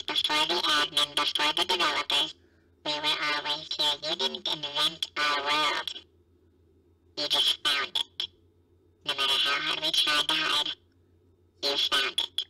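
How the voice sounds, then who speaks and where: A performer voicing a tiny, menacing evil being in a slow, flat, threatening game broadcast. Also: sits high, creaky, recorded from a distance, exaggerated voice, poor audio. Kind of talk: scripted villain broadcast monologue.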